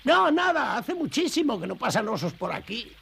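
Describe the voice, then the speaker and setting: A man voicing a cranky, eccentric old gold prospector in a Spanish cartoon dub, muttering excitably in a gravelly, high voice. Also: normal speed, full character voice. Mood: evasive. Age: older. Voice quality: creaky, gravelly, high-pitched. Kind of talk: animated cartoon dub acting.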